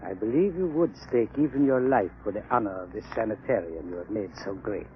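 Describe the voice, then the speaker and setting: A man playing a cool, formal doctor with a lowish voice and measured words. It is 1930s radio acting, thin and tinny like a phone line. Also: animated, slight background noise, natural voice. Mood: guarded politeness. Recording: tinny, band-limited 1930s radio recording.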